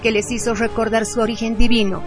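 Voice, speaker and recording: A woman reading a scripted radio narration in a solemn, deliberate manner. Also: on the high side, level tone. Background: music.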